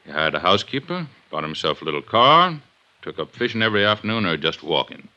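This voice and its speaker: A gruff, gray-haired police inspector, very low and hard-edged, with lively swings in his blunt, insistent questioning. He is a middle-aged man in a vintage radio detective drama. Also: fast talker, heavily acted. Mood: probing, placating. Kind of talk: 1950s radio drama acting. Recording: dull vintage 1950s radio recording.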